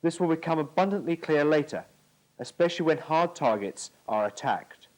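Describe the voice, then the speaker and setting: A man's voice: on the high side, lively intonation, moderate pace, low-quality recording. A British man giving formal, precise scripted narration for an instructional military training film from the 1980s.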